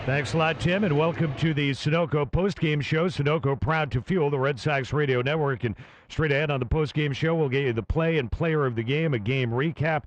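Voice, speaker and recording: A man hosting a postgame radio show from the studio, reading the open with smooth announcer-style delivery. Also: a little high, a little fast, no echo. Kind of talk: scripted radio show introduction.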